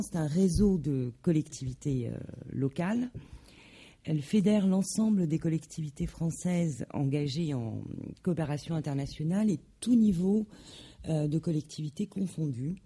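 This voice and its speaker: A woman giving a formal presentation at a conference, measured and halting, with many hesitations and self-corrections. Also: sits low, mellow, slightly rough. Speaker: conference speaker.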